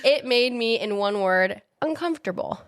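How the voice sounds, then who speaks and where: A woman's voice: fairly high pitch, expressive, moderate pace, dry recording, studio quality. A young woman co-hosting a podcast, talking enthusiastically and casually, with frequent swearing. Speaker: podcast co-host. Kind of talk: casual chat.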